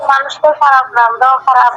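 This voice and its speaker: A woman's voice: high voice, flat delivery, fast, pushed and bright, dull audio. A woman chatting casually on a phone video call, talking at length as she explains her plans. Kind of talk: casual chat.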